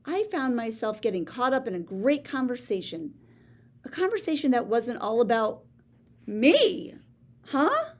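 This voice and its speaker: A woman reads a children's story aloud in a lively, sing-song voice that swoops up and down. She takes her time, letting each line land unhurriedly, and drops into gasps and hushed asides to the listeners. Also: on the high side, a little slow. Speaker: children's book reader.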